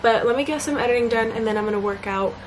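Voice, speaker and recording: A woman's voice: on the high side, quick pace. Young female vlogger, chatty and upbeat, on a camera in her bedroom, noticeable background noise. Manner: bubbly, chatty, many fillers. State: congested. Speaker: vlogger and college student. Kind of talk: casual vlog chat to camera. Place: small bedroom indoors. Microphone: camera at arm's length.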